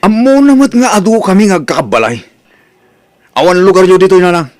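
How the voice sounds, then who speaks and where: A man's voice: sits high, expressive, fairly quick, no room sound, clean studio sound. A man playing an annoyed relative who refuses to take in guests, in a radio drama.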